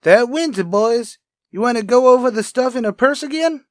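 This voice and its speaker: A man playing a wry, chatty police lab technician in a radio crime drama, brisk and casual. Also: very high-pitched, animated, dry recording, clean studio sound. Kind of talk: old-time radio crime drama acting.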